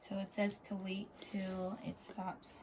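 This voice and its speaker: A hoarse woman who has lost her voice, casually explaining an unboxing step by step and pausing with fillers, recorded in a small bedroom. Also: mid-range voice, monotone, a little mellow, slight background noise, rough recording. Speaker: product reviewer.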